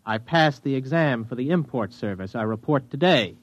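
A man's voice: mid-range voice, expressive, normal speed, no room sound, natural voice. An actor playing a frustrated young ex-spaceman, newly on Earth, in an old-time radio drama. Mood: guarded. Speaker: lead actor and narrator. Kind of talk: old-time radio drama acting with first-person narration. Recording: muffled old radio recording, music behind.

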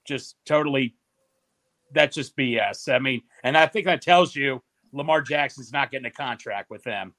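A man's voice: medium pitch, moderate pace, fairly bright tone. A man calling in to a podcast from a basement, easygoing and chatty. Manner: easygoing, laid-back chatter. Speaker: caller on a stream. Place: family house basement.

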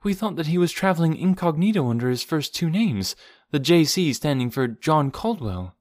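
A gentle young woman, acted out by a male reader, speaking in a raised, lilting pitch. She is earnest and concerned, explaining things carefully to a close friend in storybook style. The recording is dry and close. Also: very animated, normal speed, no echo. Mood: sad, explaining. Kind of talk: audiobook character voice.

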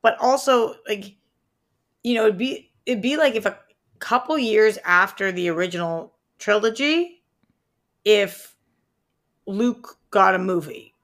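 A young adult woman talking casually on a podcast in a middle-pitched voice. She is chatty and halting, often breaking off and restarting her sentences. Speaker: podcast co-host. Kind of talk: casual pop-culture chat.

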